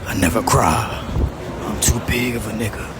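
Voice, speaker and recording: A man delivering a rambling, deadpan comic monologue, repeating himself in a slow, half-whispered way and boasting about his voice. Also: on the low side. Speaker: comedic vlogger. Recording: loud traffic noise behind.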